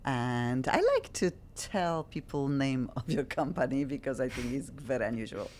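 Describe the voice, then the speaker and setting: A woman hosting a talk show, speaking halting English with frequent restarts. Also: extremely low pitch, darkish tone, slight background noise. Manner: halting non-native English with many restarts. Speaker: radio and TV show host.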